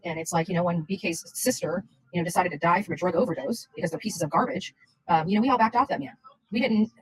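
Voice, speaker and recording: A woman's voice: lowish voice, breathy edge, dry recording, rough recording. A woman chatting casually on a livestream in a blunt, rambling, insistent way.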